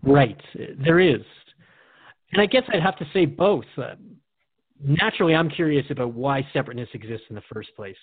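A man's voice: mid-range voice, animated, moderate pace, husky, dry recording. A man hosting a radio show in a conversational, earnest and reflective way. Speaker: radio host. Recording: dry, phone-like narrow band.

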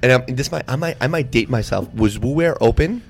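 Podcast host dude, talks super fast, brash, cusses, faint noise behind. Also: male voice, mid-range voice, expressive. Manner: brash, swearing, storytelling. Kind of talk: casual podcast chat.

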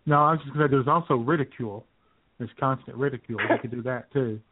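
Husky American radio sidekick man. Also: mid-range voice, fast. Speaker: internet radio co-host. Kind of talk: casual comic radio chat. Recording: tinny, phone-like internet radio audio.

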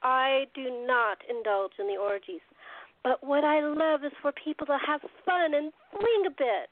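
A woman's voice: high voice, measured pace, dry recording. A woman playing a glamorous, indulgent 1920s party hostess who calls people darling, in an amateur radio play. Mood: breezy. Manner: affectionate, calls others darling. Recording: tinny phone-like audio.

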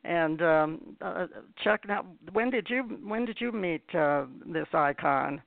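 Female radio host, very slow, gravelly creak, halting questions, conversational, dry phone-like sound. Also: fairly low pitch, expressive, dry recording. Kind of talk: conversational interviewing.

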